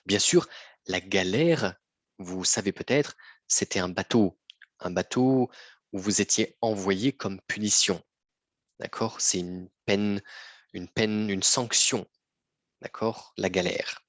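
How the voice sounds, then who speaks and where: Male teacher, patient and repetitive, dry close sound, low-ish breathy voice. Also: slow, dry recording. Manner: patient, repeats phrases, often checks with "d'accord?". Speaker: French teacher. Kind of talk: slow lesson for learners.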